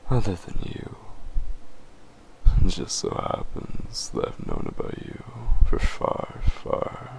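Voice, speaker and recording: Smug male vampire for audio roleplay, played by a man: very deep, unhurried, taunting and possessive. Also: wildly swooping pitch, a little hiss or hum, heavily acted, low-quality recording. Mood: smug menace. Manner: slow, taunting, chuckling, often dropping to breathy murmurs. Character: smug, teasing, possessive male vampire toying with his prey. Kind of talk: scripted roleplay audio drama.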